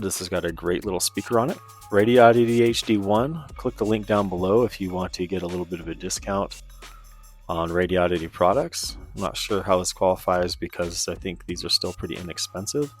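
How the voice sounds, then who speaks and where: A man's voice: low voice, a little fast, faint music behind. A man giving a casual, informal product review in a conversational way. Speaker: presenter of radio gear review.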